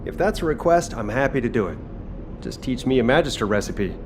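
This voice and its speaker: An actor playing a cocky, playful fire-wielding cook and fighter in a video game, with a flippant, teasing way of talking. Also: male voice, mid-range voice, big pitch swings, brisk, lightly acted. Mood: easygoing. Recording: loud game music behind the voice.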